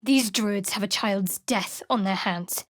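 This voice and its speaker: A woman voicing a guarded, sardonic young female cleric of a dark goddess in a fantasy video game, with a dry, secretive, teasing manner. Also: mid-range voice, takes it slowly, no room sound. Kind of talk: video game companion voice lines.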